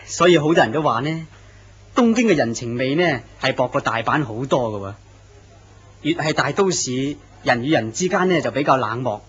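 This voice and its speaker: An earnest, courteous office man, voiced by a man in an old-style horror radio play. His voice is mid-pitched and plain. The recording sounds dull and lo-fi. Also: expressive, normal speed, fairly bright tone, natural voice. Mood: polite. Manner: courteous and earnest, turns startled when threatened. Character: polite, earnest office man, a deputy manager. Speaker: actor in a horror radio drama. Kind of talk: radio drama acting.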